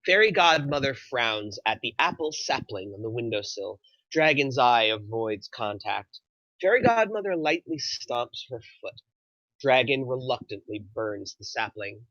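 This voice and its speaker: A man with a bright, forceful voice at medium pitch reads scripted narration. He delivers screenplay action lines aloud, and the recording is dry and slightly dull. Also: sing-song, unhurried, no echo.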